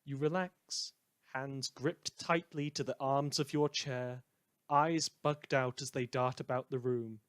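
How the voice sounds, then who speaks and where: A man playing a wry community radio host in a fan audio drama, delivering scripted narration in a measured, theatrical deadpan. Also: mid-range voice, clean tone. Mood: ominous, hushed. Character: wry male community radio host telling eerie tales.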